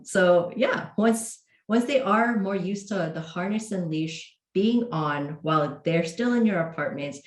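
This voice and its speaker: Woman host, low voice, chatty with lots of ums, echoey room over a video call. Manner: friendly, many fillers and restarts. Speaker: host of a cat training hangout. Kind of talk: casual livestream talk. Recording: roomy echo.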